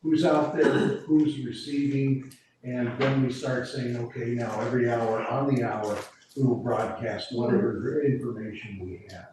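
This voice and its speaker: A man's voice: medium pitch, steady tone, unhurried, darkish tone. A man explaining radio procedures to a committee meeting, rambling and restarting as he goes. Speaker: emergency preparedness volunteer. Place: meeting room. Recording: echoey room from far off, faint hiss.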